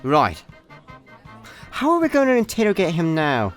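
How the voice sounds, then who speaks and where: Male actor doing an easygoing sci-fi slacker, Scottish, deadpan, very varied intonation, comic radio play. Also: fairly high pitch, normal speed, soft background music. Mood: casual banter. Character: easygoing, scatterbrained intergalactic slacker sidekick.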